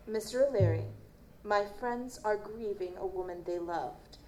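A woman playing a guarded, formal chief medical examiner in an audio drama, measured and dismissive. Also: mid-range voice, mellow, natural voice. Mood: firm, dismissive. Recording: roomy, noisy live stage recording.

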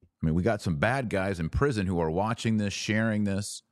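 Deep-voiced man hosting a film review. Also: moderate pace, dry recording, clean studio sound. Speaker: podcast host. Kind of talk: solo film review monologue.

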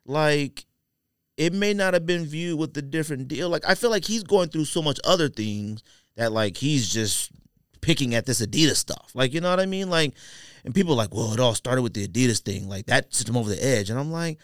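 A male podcast co-host chats casually in a mid-pitched voice that moves around a lot. He rambles and repeats himself in loose slang, giving his opinions. The sound is dry, with hardly any room in it. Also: animated, brisk, dry recording. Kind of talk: casual opinionated sneaker chat.

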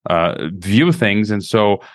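A man from Croatia, hosting an interview in a measured, hesitant way. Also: lowish voice, lively intonation, no room sound, clean studio sound. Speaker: interview host. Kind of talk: conversational interview.

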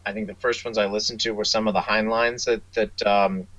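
A man speaking as a writer guest in a casual interview, halting and repeating words. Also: on the low side, level tone, a bit bright, dry recording, muffled recording.

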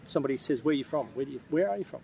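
A man's voice: mid-range voice, animated, rapid-fire, gravelly, darkish tone. Host, chatty male interviewer, encouraging, faint traffic noise behind. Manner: chatty, encouraging, frequent yeahs. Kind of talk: casual interview.

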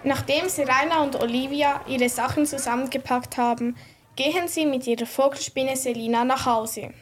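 A female storyteller narrates a children's radio play from a script. Her voice is fairly high, with faint noise in the recording. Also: fairly even intonation, normal speed.

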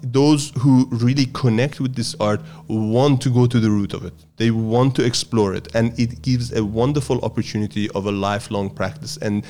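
A man from Iran, a guest on an interview, explaining his practice in a measured way with frequent pauses and fillers. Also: medium pitch, fairly even intonation, warm tone. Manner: measured and explanatory, with many hesitations. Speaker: guest, Persian strength and yoga teacher. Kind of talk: thoughtful interview answers.

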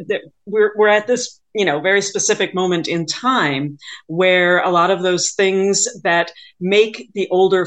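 A woman in a casual interview conversation, talking reflectively as the interviewer. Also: lowish voice.

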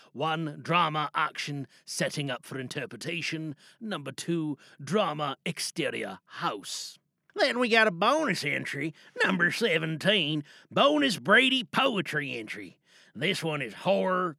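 A podcast host speaking in a theatrical, animated way, reading out announcements and chatting to listeners. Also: medium pitch, dry recording, clean studio sound.

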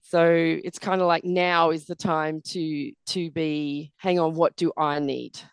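Middle-aged woman guest, croaky and tired, earnest and reflective, lowish voice. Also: steady tone, slow, no echo, studio quality. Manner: earnest, many "you know" fillers. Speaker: podcast guest. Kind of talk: reflective interview conversation.

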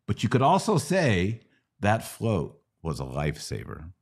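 A man's voice: lowish voice, wildly swooping pitch, unhurried, a little mellow, husky. A middle-aged American man hosting a conversational Q&A podcast, explaining things didactically and checking in with rhetorical questions. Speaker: podcast host answering religious questions. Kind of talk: conversational Q&A teaching.

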